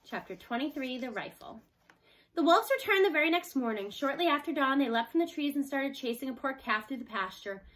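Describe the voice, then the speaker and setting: A woman reading a children's book aloud as an expressive scripted narration. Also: high-pitched, fairly quick, rough recording. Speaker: storybook reader.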